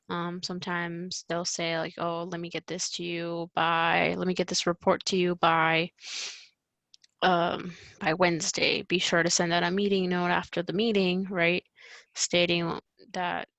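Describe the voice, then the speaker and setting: A woman's voice: on the low side, gravelly, fairly bright tone, no echo. A woman presenting an informal how-to walkthrough, often checking in with little conversational tags as she explains. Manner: checks in with "right?" and "you know". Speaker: presenter giving a how-to tutorial.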